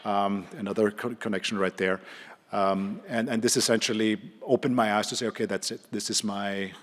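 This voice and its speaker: Austrian guy giving a conference talk, lowish voice, stumbles and repeats words, big echoey hall. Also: fairly even intonation. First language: German. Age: middle-aged. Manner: halting, repeats words, many hesitations. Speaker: conference speaker, neurologist and clinical trialist. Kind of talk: informal conference presentation. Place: conference hall, speaking to an audience. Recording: reverberant hall sound.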